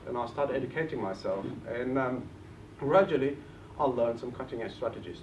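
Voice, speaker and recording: A man in his thirties from Bulgaria with a Bulgarian accent, giving an earnest motivational talk at a property seminar. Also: mid-range voice. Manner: earnest, rallies the crowd. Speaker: property investment speaker. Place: event hall. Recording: roomy, distant, dull lo-fi with faint noise.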